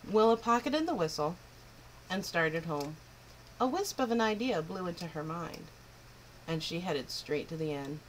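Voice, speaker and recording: A woman reading a picture book aloud to children in an expressive, lilting way. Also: on the low side, unhurried, slight background noise, poor audio. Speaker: children's storyteller. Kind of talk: storybook read-aloud.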